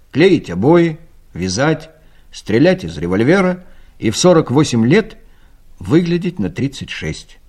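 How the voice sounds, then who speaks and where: A man narrating an audio play from a script in an expressive storytelling manner. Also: medium pitch.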